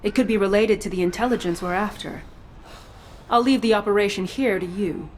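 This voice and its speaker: A woman's voice: mid-range voice, brisk, slight background noise, close to an everyday voice. A woman playing a stern, secretive sci-fi intelligence operative in a video game, controlled, dry and coolly menacing. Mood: businesslike.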